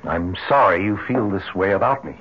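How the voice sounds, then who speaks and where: Actor as formal, low-voiced doctor, old radio. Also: male voice, moderate pace, close to an everyday voice. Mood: stiff, resigned. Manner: formal, restrained. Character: polite, offended family doctor. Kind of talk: old-time radio drama acting. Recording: dull, faintly noisy old radio recording.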